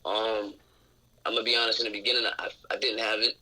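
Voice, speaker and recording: A raspy-voiced man in his twenties, a guest on a radio interview, talking openly and reflectively about his life. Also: fairly low pitch, flat delivery, fast, sharp tone, poor audio. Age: 20s. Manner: outspoken, open and reflective. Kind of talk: candid casual conversation. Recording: radio show recording.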